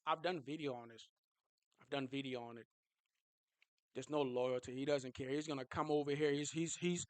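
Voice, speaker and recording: A young man giving a casual, animated fan reaction, repeating himself for emphasis and laughing as he rants. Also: on the high side, clean tone, dry recording. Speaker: sports fan vlogger.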